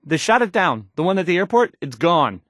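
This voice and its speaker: A man's voice: on the high side, sing-song, a bit bright, no room sound, studio quality. A man playing an awkward, unsure young radio DJ in a video game, stumbling hesitantly through news reports. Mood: nervous, fumbling. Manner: halting, stammering, hesitant, trailing off.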